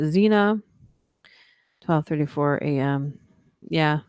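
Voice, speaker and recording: A woman's voice: fairly low pitch, no room sound, studio quality. A woman hosting a late-night live stream, reading ghost stories aloud with chatty asides, her voice worn and tiring. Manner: steady reading voice breaking into quick laughing asides. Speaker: livestream host reading stories.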